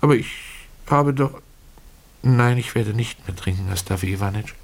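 A man voicing a timid, weepy drunkard who pleads haltingly and apologetically in a radio play. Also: fairly low pitch, vocal fry, pitched above the performer's own voice. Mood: weak, faltering. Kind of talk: German radio play reading.

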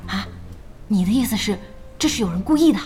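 A woman playing a spirited young gamer in an audio drama, chatty, expressive and inquisitive. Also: medium pitch, brisk, soft background music, natural voice. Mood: curious. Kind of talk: audio drama acting in dialogue.

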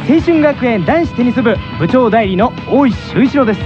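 A man's voice: very high-pitched, lively intonation, moderate pace, dull audio, over music. A man playing an earnest, polite, easily flustered teenage vice-captain who hosts an anime radio show. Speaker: radio show host in character.

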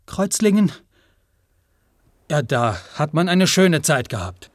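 An actor playing a rootless, humble and anxious peddler in a German radio play, with a halting, rambling way of talking. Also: male voice, fairly high pitch, sing-song, no room sound, exaggerated voice. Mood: defensive, flustered. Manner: halting, rambling, says "one" instead of "I".

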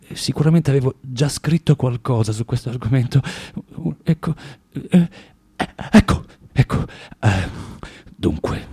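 An actor playing a bitter, self-loathing recluse in a radio drama monologue, theatrical and halting, feverishly repeating himself in a husky voice. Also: male voice, medium pitch, mellow, no echo, clean studio sound. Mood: muttering, searching. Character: bitter, self-loathing, rambling male recluse from Saint Petersburg.

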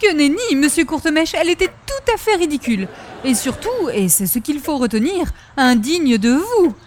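A woman's voice: high voice, very animated, moderate pace, a little hiss or hum. A woman playing an effusive, romantic middle-aged busybody in an old-time radio comedy, prim, dramatic and flowery. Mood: insistent.

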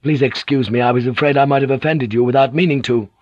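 A man's voice: medium pitch, steady tone, quick pace, a little mellow, no room sound, voice pushed up, natural voice. Man doing a formal, deferential young lord, dull lo-fi recording. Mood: dutiful. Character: young male lord and heir, stiff, dutiful.

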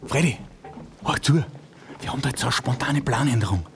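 An actor playing a bossy robber ringleader with a Viennese accent, commanding and urgent in a radio drama. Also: male voice, mid-range voice, a little fast, creaky, a little hiss or hum, close to an everyday voice. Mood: tense instructing. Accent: Viennese Austrian. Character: bossy male robber ringleader giving orders under pressure. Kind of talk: radio drama acting, colloquial dialect.

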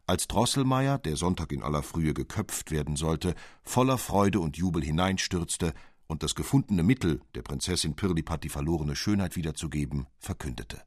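A man with a deep voice narrates a literary fairy tale. His delivery is scripted and expressive, with a theatrical storyteller's touch. The sound is close and dry, free of room echo. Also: moderate pace. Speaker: audiobook narrator.

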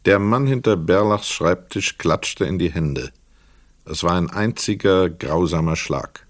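A man narrating a literary crime radio play as a sober storyteller, measured, precise and dramatic. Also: deep voice, no echo, clean studio sound.

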